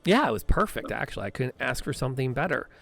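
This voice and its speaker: A man's voice: mid-range voice, sing-song, fast talker, vocal fry, fairly bright tone, faint music behind. A man hosting a podcast and live stream, chatting in a rambling, upbeat way with lots of fillers and restarts. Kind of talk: casual chat on a live stream.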